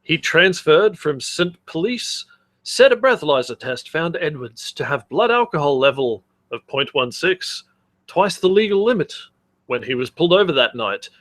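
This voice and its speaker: A man's voice: on the high side, very animated, moderate pace, a bit bright, dry recording. A man co-hosting a comedy podcast, chatting casually in a blunt, crude manner. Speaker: podcast co-host.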